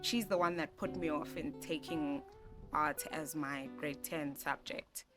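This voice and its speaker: A South African woman in her twenties, talking in a soft, reflective way with many fillers and restarts during an interview. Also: sits low, fairly even intonation, a little slow. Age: 20s. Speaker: interviewee, graphic designer. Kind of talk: relaxed personal interview. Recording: music bed playing behind voice.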